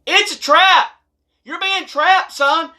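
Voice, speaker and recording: American male vlogger for comic advice monologue: folksy and blunt, animated emphasis, bright forceful tone. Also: very high voice, slow. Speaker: video host telling stories and advice. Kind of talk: humorous storytime monologue to camera.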